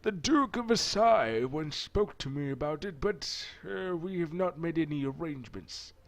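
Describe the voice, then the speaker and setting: A man's voice: on the high side, expressive, moderate pace, pitched above the performer's own voice. A man voicing a humble, proud father in a visual novel, speaking hesitantly and with formal deference. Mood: deferential, uncertain.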